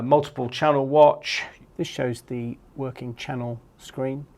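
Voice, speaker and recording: A man's voice: mid-range voice, creaky, darkish tone, a little hiss or hum. A man in his fifties explaining products in an informal, matter-of-fact overview, as a presenter.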